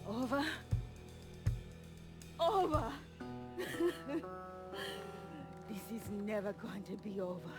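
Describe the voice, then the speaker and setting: A woman's voice: fairly high pitch, very animated, extremely slow, long pauses, over music, exaggerated voice. An actress playing a traumatized, furious captive woman in a video game cutscene, screaming and cursing in anguish. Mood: anguished, bitter.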